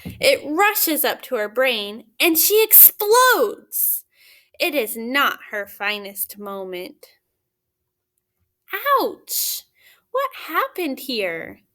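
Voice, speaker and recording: A woman reading a picture book aloud as a teacher, pausing to put simple questions to young children. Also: high-pitched, sing-song, pushed and bright. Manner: simple questions with pauses for answers.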